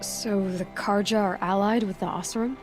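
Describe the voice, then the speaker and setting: Woman as a plainspoken young huntress, mid pitch. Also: steady tone, moderate pace, music in the background, natural voice. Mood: curious. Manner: plainspoken, dry, questioning. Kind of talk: video game dialogue acting.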